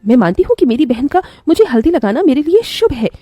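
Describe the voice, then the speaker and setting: Woman playing a high-voiced, protective young bride. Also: very animated, quick pace, warm tone, dry recording, studio quality. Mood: firm. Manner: earnest, pleading. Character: kind, protective young bride, loyal to her stepsister.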